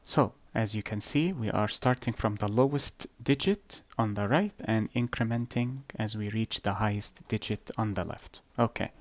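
A man whose first language is Arabic, explaining number systems slowly and deliberately over an on-screen drawing, with blunt asides. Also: on the low side, dry recording. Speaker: math teacher. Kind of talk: screen-recorded whiteboard lesson.

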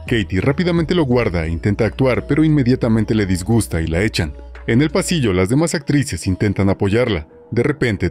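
Low-voiced man narrating a film recap. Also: darkish tone. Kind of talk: scripted plot narration.

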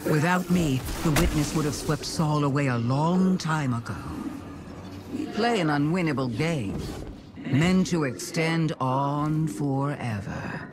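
A woman's voice: low-pitched, animated, slow pace, background noise. A woman playing a scheming, mocking alien witch queen in a game, with a sly, theatrical, taunting delivery. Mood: aggrieved taunting. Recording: loud music and effects behind.

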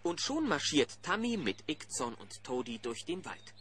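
A man's voice: a little high, very animated, moderate pace, a bit bright, a little hiss or hum. A man playing a chummy narrator, telling a scripted story to young listeners in a children's audio play. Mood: storytelling.

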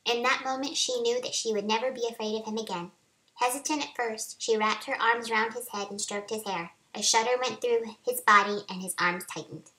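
A woman's voice: a little high, fairly even intonation, brisk, sharp tone. A middle-aged woman reading romance fiction aloud, her voice altered by helium, on a webcam in a home office. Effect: voice raised by helium. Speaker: author reading own novel. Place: small home office, indoors. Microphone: webcam at arm's length. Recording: roomy echo.

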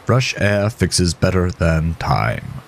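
Man acting a weary, gravelly, evasive doctor. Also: low-pitched, big pitch swings, takes it slowly, slight background noise, full character voice. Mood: weary, faintly cheerful.

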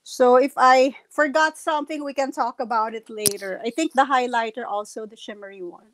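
A middle-aged woman with a Filipino accent and a slightly raspy voice, going through makeup products one by one on a video call. Her voice sits a little high for a woman and comes through earphones. Faint noise hums under it. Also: normal speed, fairly bright tone, rough recording. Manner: shows products one by one to camera. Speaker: guest makeup presenter. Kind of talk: casual live video call chat. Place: home room with shelves. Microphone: earphone headset on video call.

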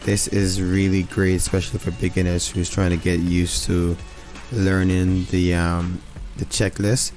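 A man's voice: deep voice, monotone, unhurried, hardly any pauses. An enthusiastic man casually narrating a demo as a video creator. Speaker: flight sim video creator. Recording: loud music behind voice.

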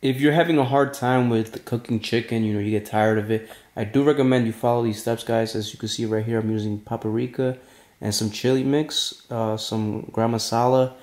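Mellow man casually explaining a recipe. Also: mid-range voice, steady tone, normal speed. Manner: informal, addresses viewers as guys. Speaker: fitness vlogger and host. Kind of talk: casual how-to cooking voice-over.

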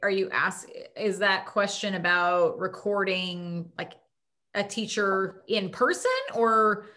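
Woman, school principal, video-call audio, relaxed off-the-cuff answers with fillers. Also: mid-range voice, slow. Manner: informal, thinks aloud with fillers and restarts. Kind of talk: answering questions at a video-call town hall.

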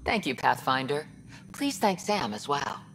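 A woman's voice: low voice, sing-song, unhurried, creaky, a little hiss or hum, exaggerated voice. A woman voicing a precise, formal female scientist in a video game. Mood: measured. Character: measured female scientist doctor. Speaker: doctor.

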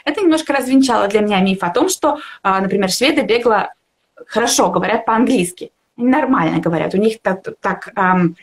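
A young woman chatting casually as a language teacher in a live discussion, encouraging and reflective, often searching for words. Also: a little high. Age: young adult. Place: indoor room at home, video call.

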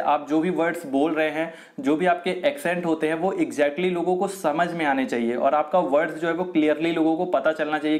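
A man, explaining things to viewers as a tech video host in a chatty, repetitive way. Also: a little high. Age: 20s. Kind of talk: explainer to camera. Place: small room indoors.

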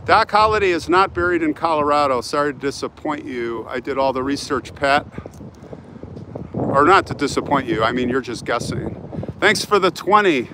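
A man's voice: a little high, normal speed. An older man with an American accent chatting casually to live-stream viewers, hopping between remarks as he walks. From United States. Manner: chatty, jumping between remarks while walking. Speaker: vlog host. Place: outdoors in a large open cemetery. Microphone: handheld phone at arm's length. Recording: noisy outdoor urban ambience.

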